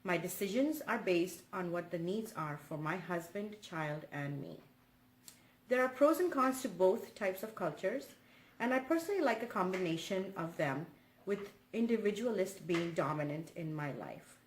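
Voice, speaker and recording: A woman's voice: lowish voice, expressive, normal speed. A woman from India reading a scripted personal account as a student presenter. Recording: roomy sound with faint noise.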